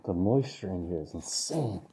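Male urban explorer, low husky voice, very dark tone, hushed casual commentary indoors. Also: expressive, normal speed. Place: abandoned moldy building indoors.

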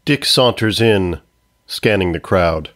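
A man's voice: low-pitched, expressive, slow, fairly bright tone. A man reading scripted narration for a comedy story, as its narrator.

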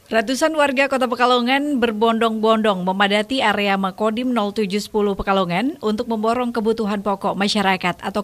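The mid-pitched woman you hear narrating a field report on local evening television news. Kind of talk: scripted voice-over narration.

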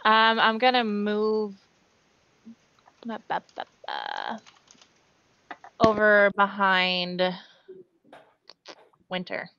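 A woman's voice: medium pitch, big pitch swings, very slow, pauses often, pushed and bright, clear, resonant tone. A woman playing a tabletop game over a video call, drawing out her words as she thinks aloud. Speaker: player. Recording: dull lo-fi video call audio.

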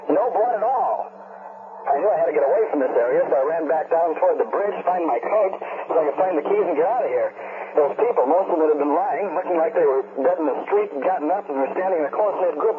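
An actor playing a frightened male field reporter in a 1970s radio drama, giving a tense, urgent first-hand account over a two-way radio. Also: on the high side. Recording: noisy, tinny two-way radio transmission.

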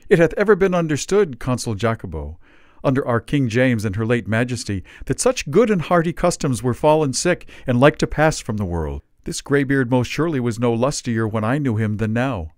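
A man's voice: mid-range voice, animated, moderate pace, darkish tone, no room sound, studio quality, pitched above the performer's own voice, lightly acted. A man reading aloud voices a burly, humorous and obstinate old-time man who declaims in archaic speech. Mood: hearty.

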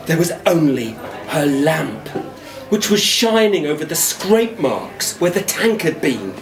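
A middle-aged man gives a theatrical live reading of a children's book. He takes his time with dramatic pauses and very lively pitch, in an echoey room. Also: some chatter behind. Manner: expressive, deliberate, dramatic pauses. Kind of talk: theatrical storybook narration in a schoolboy's first person. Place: wooden-beamed indoor venue at a live reading.

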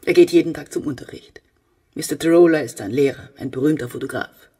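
Fussy, husky middle-aged mother. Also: deep voice, brisk, mellow, recorded from a distance. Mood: chatty, wistful. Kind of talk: German radio play acting.

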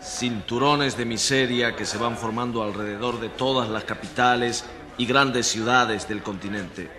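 A man's voice: medium pitch, steady tone, unhurried, crowd noise, natural voice. A man acting an opinionated, self-assured newspaper journalist in a conversational radio drama scene. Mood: explanatory. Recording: reverberant hall sound.

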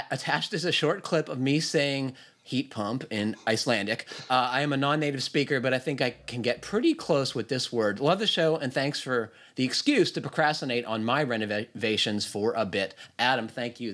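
A man's voice: mid-range voice, fairly bright tone. A man hosting a podcast over a video call, reading listener emails aloud with plenty of ums and uhs. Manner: hesitant, many fillers. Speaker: podcast host. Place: home room, video call.

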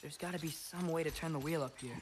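A man's voice: fairly high pitch, fast talker, a little hiss or hum, close to an everyday voice. Curious, earnest teenage boy, played by a man. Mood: curious, eager. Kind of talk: naturalistic video game acting.

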